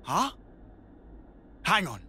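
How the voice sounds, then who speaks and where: A man's voice: high voice, huge pitch swings, drawn-out words, sharp tone, slightly rough, exaggerated voice. A man voicing a brash, easygoing young soldier who talks bluntly in casual slang. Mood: excited. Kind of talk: video game cutscene acting.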